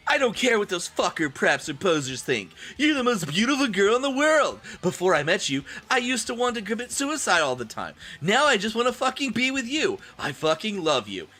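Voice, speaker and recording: A man's voice: sits high, big pitch swings, moderate pace, hardly any pauses, bright, forceful tone, pitched above the performer's own voice, full character voice. A man voicing a lovesick, melodramatic teenage goth boy in a pleading, overwrought manner. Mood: lovesick, anguished.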